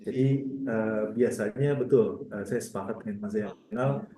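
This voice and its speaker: A man's voice: lowish voice, normal speed, mellow. A man, a university lecturer, giving informal closing remarks to students in a conversational way. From Indonesia. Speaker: university lecturer moderating a guest talk. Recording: echoey room with clear background noise.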